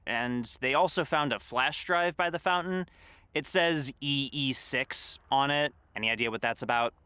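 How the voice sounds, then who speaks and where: A woman's voice: extremely low pitch, normal speed, pushed and bright, clean tone, dry recording. A woman playing a nerdy, sensible mayor's assistant in a comedy audio drama, dry and exasperated. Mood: matter-of-fact.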